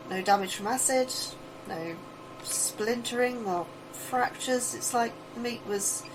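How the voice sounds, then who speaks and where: An actress playing an anxious, curious scientist in a sci-fi audio drama, earnest, awkward and a little hesitant. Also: female voice, medium pitch, noisy background, lightly acted. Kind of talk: audio fiction acting.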